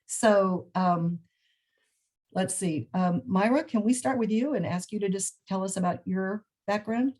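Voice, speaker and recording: A woman's voice: mid-range voice, measured pace, a little mellow. A woman hosting an online alumni panel, friendly, with hesitant fillers, on a video call. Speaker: panel moderator.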